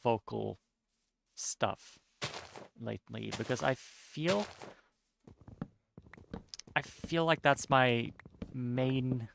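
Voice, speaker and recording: A young man with a Norwegian accent, chatting casually while streaming a game, somewhat monotone and mumbling with unclear diction. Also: mid-range voice, extremely slow, gravelly, long pauses, background noise. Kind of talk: casual chat while gaming.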